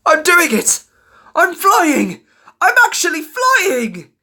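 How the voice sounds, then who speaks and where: A storyteller voicing a timid young male falcon who is excitable and earnest, in a story reading. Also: mid-range voice, slow pace, husky, voice pushed up, full character voice. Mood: elated.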